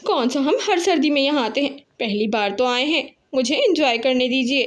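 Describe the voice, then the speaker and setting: Female cartoon crow acted by a woman: chatty coaxing wife, high, animated delivery. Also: normal speed. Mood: carefree. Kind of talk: Hindi cartoon character acting.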